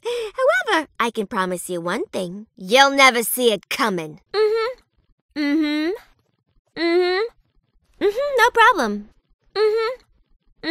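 An actress playing a perky but sinister reaper nurse in a video game, sugary and polite with menace underneath. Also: female voice, high-pitched, a bit bright, no room sound, clean studio sound, exaggerated voice. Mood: cold, threatening, then perky, teasing. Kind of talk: theatrical video game character acting.

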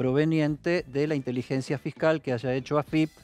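A man's voice: fairly high pitch, steady tone, moderate pace, a little mellow, soft background music. A man with an Argentine accent, co-hosting a studio news panel in a folksy, colloquial way. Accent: Argentine Spanish. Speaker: news co-host.

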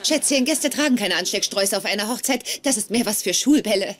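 An actress playing a casual, friendly young woman in a dubbed sitcom, colloquial and gently teasing. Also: medium pitch, animated, fast talker, background noise, natural voice. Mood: indulgent.

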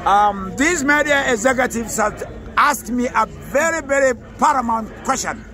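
A man's voice: very high-pitched, animated, normal speed, sharp tone, faint music behind, some chatter behind. A man with a Nigerian accent, a political activist giving emphatic, grandiose street-interview answers outdoors. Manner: emphatic, grandiose, repeats himself. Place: outdoors on an urban street near traffic.

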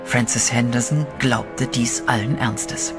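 A man narrates a horror radio play in a measured, dark storytelling way. His voice sits at a middle pitch. Clear music runs behind him throughout. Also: fairly even intonation.

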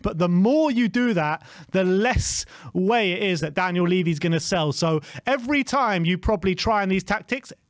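A man's voice: high voice, lively intonation, normal speed, few pauses, no echo. A man hosting a football fan show, arguing his point with combative banter.

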